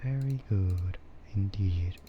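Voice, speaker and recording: A soft-spoken man chatting on an ASMR livestream, often slipping into whispers, with keyboard typing and clicking behind him. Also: deep voice, takes it slowly, dull audio, noisy background. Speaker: streamer.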